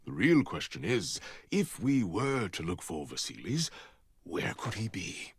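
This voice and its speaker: An actor playing an authoritative older Spartan mentor with a husky voice and measured, theatrical delivery in a video game. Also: male voice, sits low, big pitch swings, no echo, studio quality, heavily acted. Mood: thoughtful authority. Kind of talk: scripted video game drama.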